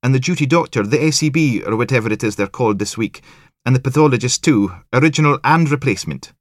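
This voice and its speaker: Man voicing a sing-song Highland policewoman, pitched up. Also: lively intonation, no room sound, clean studio sound, natural voice. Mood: brisk, cocky. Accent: Scottish Highlands. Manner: sing-song, brisk and eager. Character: cocky, eager young policewoman from the Scottish Highlands.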